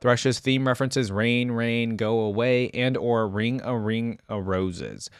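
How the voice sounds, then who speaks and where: A man's voice: mid-range voice, slow, smooth, dry recording. Man reacting casually, close dry sound. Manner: conversational, thinking aloud. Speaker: reaction video host. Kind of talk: casual reaction commentary mixed with reading aloud.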